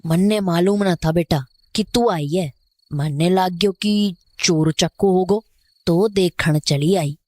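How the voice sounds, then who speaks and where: A woman acting a slow, folksy village caretaker woman. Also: on the low side, a little mellow, no room sound. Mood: wary, explaining. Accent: rural North Indian Hindi dialect. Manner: folksy, cautioning. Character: wary older village woman caretaker, suspicious and warning. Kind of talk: Hindi horror audio drama acting.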